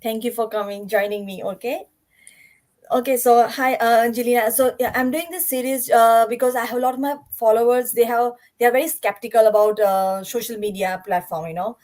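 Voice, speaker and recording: A woman hosting a live interview series over a video call, upbeat but halting, with many fillers. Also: on the high side, fairly even intonation, a little mellow. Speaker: host.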